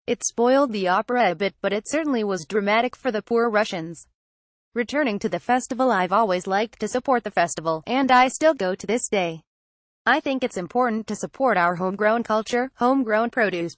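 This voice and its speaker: A woman reads a written article aloud as a scripted narration. Her voice sits at a middle pitch for a woman. The recording is very dry and close, with no sense of a room around it. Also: a little fast, no room sound. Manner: reads captions, credits and quotes straight through.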